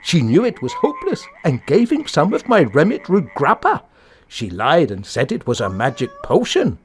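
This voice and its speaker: A man's voice: a little high, huge pitch swings, moderate pace. A man playing a nosy, tactless, gossiping villager in a comic radio play. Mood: gossipy.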